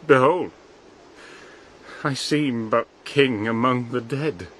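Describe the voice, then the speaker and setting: A noble king, mortally wounded and full of grief, in a classical radio drama. He declaims verse very slowly and solemnly with a forceful, ringing edge. Some background noise sits behind the voice. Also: medium pitch. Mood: anguished, bewildered.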